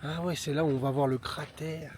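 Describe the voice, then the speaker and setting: A man with a head cold, his voice a bit stuffy, talks casually as he films out on an open volcanic plain. He sounds eager and keeps marvelling at what he sees. There is a steady wash of outdoor noise behind his voice. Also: fairly high pitch, a little fast. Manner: excited, marvelling, mixes in simple English. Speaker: travel vlogger. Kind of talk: casual vlog narration. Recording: noisy outdoor recording.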